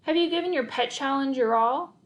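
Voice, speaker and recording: Casting: woman reader plays storybook boy, confident math-whiz schemer, high voice, matter-of-fact and emphatic. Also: brisk, lightly acted, rough recording. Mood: encouraging.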